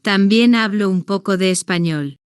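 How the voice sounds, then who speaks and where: A woman reading a simple first-person story for language beginners, in slow, deliberate, evenly paced short sentences. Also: mid-range voice, smooth, no room sound, clean studio sound. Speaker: language-learning narrator.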